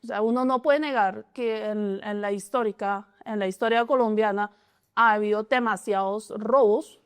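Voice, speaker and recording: A woman's voice: medium pitch, fairly even intonation, normal speed, clean tone. A woman, answering interview questions in a hesitant way, often restarting and filling with "o sea". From China. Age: 40s. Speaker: statistician and interviewee.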